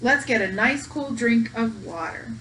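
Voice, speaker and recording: A woman's voice: fairly high pitch, measured pace, pushed and bright, a little hiss or hum, low-quality recording. A woman voicing a caring, protective mother of pet dogs, firm yet soothing, in a children's storybook.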